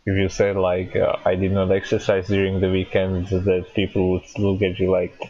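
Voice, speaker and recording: A man hosts a podcast in a low, flat voice, pausing and restarting words as he feels for the right phrasing. The sound is dry and slightly dull, with no room echo. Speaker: podcast host. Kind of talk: podcast interview conversation.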